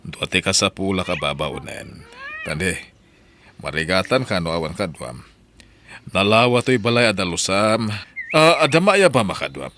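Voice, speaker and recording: A man acts out a wealthy employer in an audio drama. His low voice is gravelly yet lively in its rise and fall. He sounds deliberate and in charge. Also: slight background noise. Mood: businesslike. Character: well-off male household employer, calm and bargaining.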